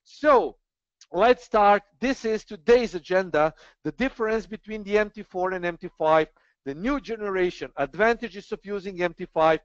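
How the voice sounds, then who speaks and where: A man's voice: sits high, moderate pace, clear, resonant tone, no echo. A man hosting an online trading webinar, talking in an earnest, persuasive way with frequent hesitations. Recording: dull, dry online webinar audio.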